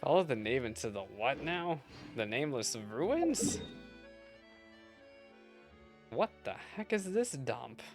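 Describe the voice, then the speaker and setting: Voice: medium pitch, big pitch swings, normal speed. A game streamer giving casual, slangy reaction commentary in a playful, exclamatory manner. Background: faint game music.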